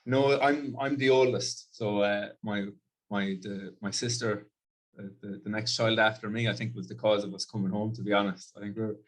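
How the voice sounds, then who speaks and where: Low-voiced Irish man, relaxed chat. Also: normal speed, poor audio. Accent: Irish Midlands. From Ireland. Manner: frequent word repeats, casual phrasing. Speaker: company CEO guest. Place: video call.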